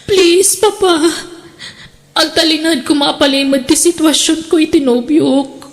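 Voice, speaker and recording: A young woman playing a gravely ill, frightened daughter who pleads tearfully in a melodramatic audio drama. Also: sits high, fairly even intonation, a little slow. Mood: distressed pleading.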